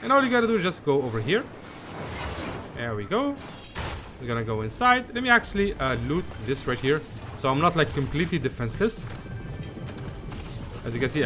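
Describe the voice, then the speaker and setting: A man giving an informal, hesitant gameplay guide, often repeating himself as he goes. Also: mid-range voice. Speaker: gaming video host. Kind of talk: casual gameplay guide commentary.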